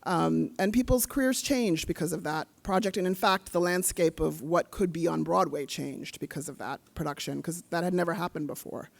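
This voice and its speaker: A woman from South Africa, a theater director, telling her career story on a panel with lively energy and some laughter. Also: fairly low pitch, normal speed, gravelly, few pauses. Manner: animated storytelling with laughs and fillers. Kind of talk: panel discussion answers. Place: convention panel hall.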